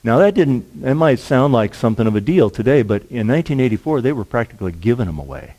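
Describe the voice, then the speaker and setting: A middle-aged man from Nebraska, a lawyer, giving a measured, earnest public lecture at a university, his voice sinking to a hush at the end of each point. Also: mid-range voice, very animated. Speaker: lawyer and speaker.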